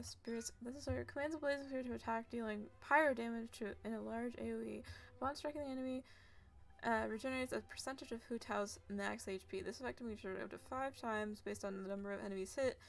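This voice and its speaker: A young woman live-streaming a game, giggling and reading game text aloud haltingly. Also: on the high side, gravelly. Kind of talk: casual live-stream commentary.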